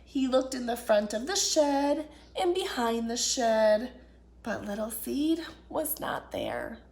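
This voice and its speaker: A woman reading a picture book aloud to children as an expressive storyteller. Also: a little high, takes it slowly, creaky. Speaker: children's storyteller, children's ministry director.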